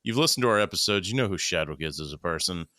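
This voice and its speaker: A man in his thirties talks in a loose, joking podcast chat, swearing freely as he goes. His low voice is bright and smooth, and it swings up and down a lot. The sound is dry and close. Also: lively intonation, fast talker, no room sound. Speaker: podcast co-host. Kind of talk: casual chat.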